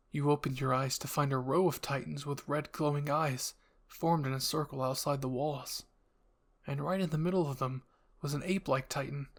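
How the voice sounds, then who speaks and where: A man reading scripted fan fiction as a narrator and channel host. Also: fairly high pitch.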